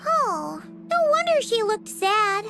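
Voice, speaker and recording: Game sidekick: childlike nosy little fairy girl, piercingly high, swooping delivery. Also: big pitch swings, takes it slowly, vocal fry, full character voice. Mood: curious. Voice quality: squeaky, childlike. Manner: exclamatory, speaks of herself by name. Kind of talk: animated video game voice acting. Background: loud music.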